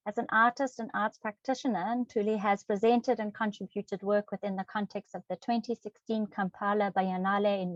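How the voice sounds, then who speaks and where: A woman's voice: medium pitch, dry recording. A woman hosting a webinar, reading out speaker bios with frequent ums and self-corrections. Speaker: webinar host. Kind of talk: reading introductions with fillers. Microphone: video call. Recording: dry, dull lo-fi video call audio.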